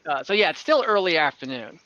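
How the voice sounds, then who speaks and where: A man narrating a tabletop game as game master, casually improvising in a halting, informal way. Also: on the high side, big pitch swings, bright, forceful tone, lo-fi sound. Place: online tabletop game session from home.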